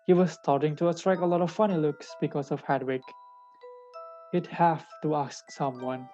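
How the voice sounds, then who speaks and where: Young reader, warm mellow tone, measured storytelling, dull recording, light music. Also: steady tone. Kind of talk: dramatic reading of a children's fantasy novel. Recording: dull low-fidelity recording with faint music.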